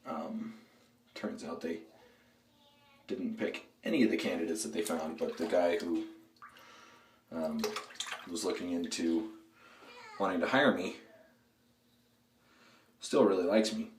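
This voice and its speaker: A man with a low, hoarse voice from a throat cold, chatting in a relaxed, rambling way with plenty of ums and uhs. He talks a bit away from the mic in a bathroom, so the sound bounces around the tiled room. Faint running water and household noise sit behind him. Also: takes it slowly, pauses often, echoey room, far from the mic. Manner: relaxed, rambling, many fillers. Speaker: shaving video host. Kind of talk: casual product review while shaving.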